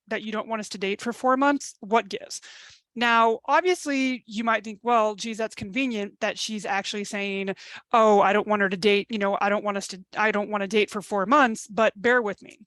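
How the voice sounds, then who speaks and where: Energetic, chatty dating coach woman. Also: medium pitch, dry recording. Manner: chatty, emphatic, acts out quoted complaints. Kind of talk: live webinar masterclass.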